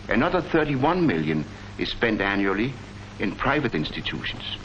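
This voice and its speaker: An actor playing an earnest army colonel who presents public health facts in a declamatory, rhetorical way, in the style of a 1940s education film. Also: male voice, medium pitch, a bit bright. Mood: grave, earnest. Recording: muffled, noisy 1940s film soundtrack.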